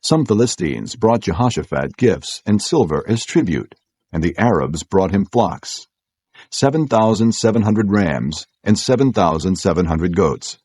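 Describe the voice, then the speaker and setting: Low-pitched man narrating scripture, dry sound. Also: very animated, a little slow, darkish tone, breathy edge, no echo. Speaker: audiobook narrator.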